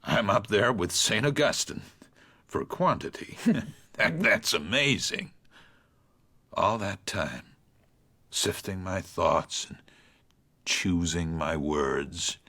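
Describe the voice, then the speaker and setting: An actor playing an aging small-town reverend, reflective and self-doubting, speaking pensively with wry hesitations in a literary radio drama. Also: male voice, deep voice, lively intonation, slow, pauses often, bright, forceful tone, heavily acted. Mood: tender, musing.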